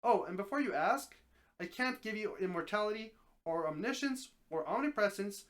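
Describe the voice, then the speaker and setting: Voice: mid-range voice, lively intonation, moderate pace, close to an everyday voice. A reader voicing an affable male talking snake who grants wishes, explaining things patiently and confidently in a story. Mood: explanatory.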